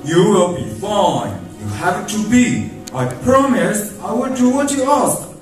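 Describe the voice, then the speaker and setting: A woman's voice: mid-range voice, big pitch swings, a little slow, darkish tone. A woman playing a devoted, affectionate father in an amateur radio fairy tale, with theatrical delivery. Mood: reassuring. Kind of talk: amateur radio drama acting. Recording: echoey hall, distant mic, faint music.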